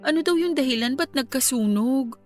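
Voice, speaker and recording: A woman's voice: sits high, animated, normal speed. An actress playing a young woman grieving her grandparents in a radio drama, earnest and polite. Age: young adult. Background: faint background music.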